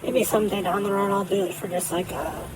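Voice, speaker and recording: A woman's voice: medium pitch, steady tone, fairly quick, noisy background. A woman streamer talking candidly through a voice modifier on a cheap mic while playing a card game, with many fillers. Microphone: cheap desk mic. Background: game music.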